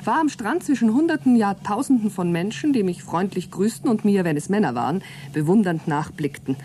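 Mellow-voiced woman astronaut, earnest and hopeful, acted. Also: medium pitch, lively intonation, brisk, a little hiss or hum, lightly acted. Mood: reflective, excited. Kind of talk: German radio play acting.

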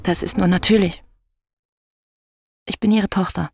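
An actress playing an earnest young woman journalist who gently challenges an older man in a radio play. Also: mid-range voice, fairly even intonation, measured pace, vocal fry. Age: young adult.

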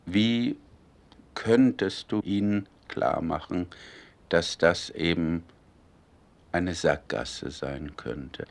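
Very slow, low-voiced male interviewer. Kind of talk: thoughtful interview questioning.

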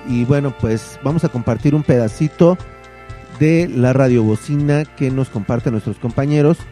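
Male community radio news host, mellow tone, relaxed delivery, faint music under the voice. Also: mid-range voice, moderate pace. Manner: relaxed, informal presenting with fillers. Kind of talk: reading a statement and presenting the news.

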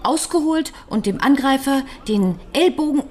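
An actress playing a shaken but composed widow in a radio crime drama, recounting things in a halting, deliberate way. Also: female voice, high-pitched, animated, a little mellow.

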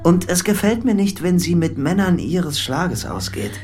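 Obsessive lovesick man, swinging pitch. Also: expressive, normal speed, a little mellow. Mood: agitated, insistent. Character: obsessive, lovesick, delusional man, insistent and agitated. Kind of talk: radio drama acting.

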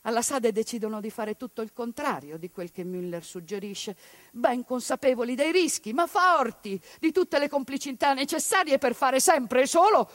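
Woman stage narrator, indignant and dramatic, fairly high voice, dry close sound. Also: animated, moderate pace, no room sound. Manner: impassioned, indignant, dramatic swings between loud and hushed. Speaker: stage actress narrating a monologue. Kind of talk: theatrical civic monologue broadcast on radio.

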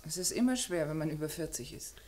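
Wistful, low-voiced middle-aged spinster. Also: female voice, expressive, fast talker, warm tone. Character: wistful, loyal unmarried woman over forty, guarded. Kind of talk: mid-century German radio crime drama acting. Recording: noisy old radio drama recording.

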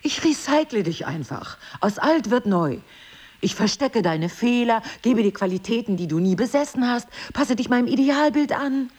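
Casting: wry, guarded wife for audio drama, breathy husky tone, highly varied intonation, ironic yet passionate. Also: female voice, animated, normal speed, darkish tone. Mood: mocking. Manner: ironic, emotional, dropping into breathy whispers. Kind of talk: intimate audio drama dialogue.

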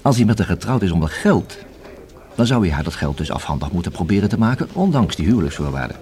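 An actor in a radio play as a methodical police inspector who reasons and questions in a formal, measured, deliberate way. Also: male voice, sits low, big pitch swings, warm tone. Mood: pensive, speculating. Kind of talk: radio drama acting. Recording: hissy old radio play recording.

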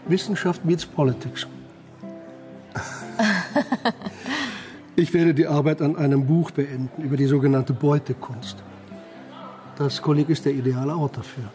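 A man's voice: a little high, unhurried, pauses often, a little mellow, natural voice. Actor as a dryly ironic male professor. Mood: dry, amused. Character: urbane, dryly witty American professor, male. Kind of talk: radio crime drama acting. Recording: faint music behind.

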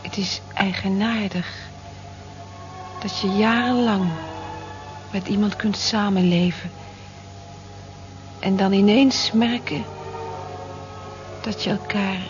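An actress in a radio play as a lonely, gentle working-class housewife in her forties, speaking in a soft, confiding, reflective way. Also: mid-range voice, lightly acted. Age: 40s. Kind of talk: naturalistic radio play acting, intimate monologue. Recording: muffled old radio drama recording.

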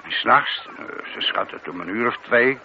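A man's voice: medium pitch, animated, normal speed, sharp tone. Male police sergeant, radio play, deferential, mild, politely contradicting, matter-of-fact, lo-fi with faint noise. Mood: matter-of-fact, reporting. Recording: muffled, noisy lo-fi radio drama recording.

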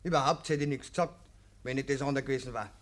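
An actor playing a brazen young village lad in a German radio play, defensive and self-justifying, in Bavarian dialect. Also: male voice, mid-range voice, fairly even intonation, fast, lightly acted. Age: young adult. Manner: hedging, defensive.

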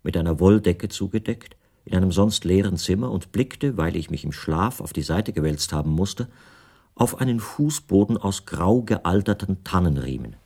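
An actor playing a bespectacled middle-aged writer who recounts his own story in measured, formal and precise literary narration. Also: sits low, expressive, darkish tone, breathy edge, heavily acted. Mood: measured, recollecting. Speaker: first-person narrator of a radio play. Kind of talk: literary scripted narration in a radio play.

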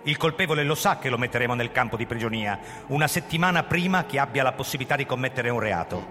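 An actor playing a proud, guarded older police commissioner who narrates his own story in a theatrical, measured radio drama style. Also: a little high, bright, forceful tone, slight background noise. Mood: proud, emphatic. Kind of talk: live radio drama reading with music. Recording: reverberant hall with music behind. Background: music.

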